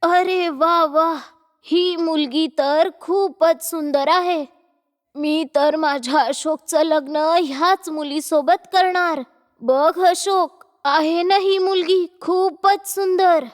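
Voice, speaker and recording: A woman's voice: very high-pitched, steady tone, slow. A woman voicing a bossy, nagging middle-aged mother-in-law in a cartoon moral story. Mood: delighted, coaxing. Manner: nagging, exclamatory, domineering. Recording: long hall-like reverb.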